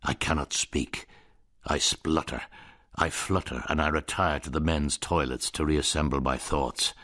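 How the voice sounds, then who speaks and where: Deep, slow male narrator for a dark radio drama, brooding delivery. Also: steady tone. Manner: measured, brooding first-person storytelling.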